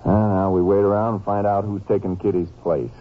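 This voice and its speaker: A man playing a shrewd, steady lawman from an old radio serial, low in pitch and matter-of-fact. He narrates and questions tersely, on a muffled vintage recording with music. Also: fairly quick, close to an everyday voice. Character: steady, shrewd male Texas Ranger investigator, narrating his case. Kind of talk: 1950s radio drama acting and narration.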